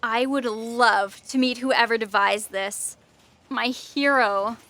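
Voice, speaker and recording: A woman's voice: a little high, slow pace, bright, forceful tone, heavily acted. A woman playing a gleefully destructive, shape-changing self-destruct program in a sci-fi comedy audio drama, theatrical and taunting. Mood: sly delight.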